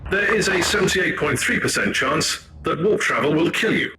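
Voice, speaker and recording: Cyborg tech priest, raised pitch, clinical, emotionless, game dialogue, clearly noisy ambience. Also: male voice, animated, normal speed, sharp tone, heavily acted. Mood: clinical warning. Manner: clinical, matter-of-fact. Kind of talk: video game voice acting.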